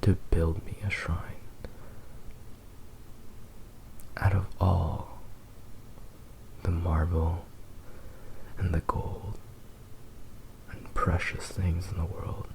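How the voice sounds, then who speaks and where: A man voicing a devoted, possessive fox spirit husband in an ASMR roleplay, speaking in a soft, breathy half-whisper with intimate, lingering pauses. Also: sits low, exaggerated voice, poor audio. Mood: adoring.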